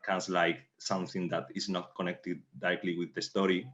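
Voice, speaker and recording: Male playwright, Spanish accent, low flat voice, halting reflective answers, slightly dull audio. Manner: halting, searching for words. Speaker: playwright on a panel.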